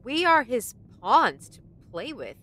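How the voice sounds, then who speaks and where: A woman's voice: on the high side, big pitch swings, slow, bright, forceful tone, exaggerated voice. A woman playing a principled, distrustful young companion in an audio drama, challenging and emotional in manner. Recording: clear background noise.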